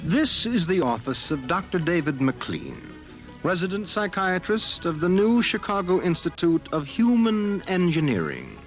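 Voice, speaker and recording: A man's voice: high voice, big pitch swings, unhurried. A man narrating a 1950s radio drama in a theatrical old-time style. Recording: vintage radio recording, music behind.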